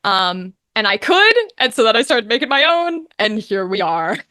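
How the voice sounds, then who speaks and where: A young woman chatting casually as an interview guest, telling her story in an animated, informal way. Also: fairly high pitch, unhurried, dry recording, studio quality. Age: young adult. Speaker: guest, voice actor.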